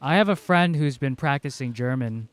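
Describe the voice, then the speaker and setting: A man's voice: medium pitch, expressive, normal speed, no room sound. A male game streamer, a native Cebuano speaker, chatting casually and rambling with lots of fillers. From Philippines. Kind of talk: casual livestream chat.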